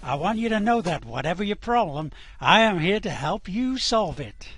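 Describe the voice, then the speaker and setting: A man's voice: high-pitched, big pitch swings, moderate pace, fairly bright tone, breathy edge, no echo, full character voice. A man playing a relentlessly cheerful, overfriendly ship computer in a radio play, eager to please. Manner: chirpy, overfriendly. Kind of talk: amateur radio play acting.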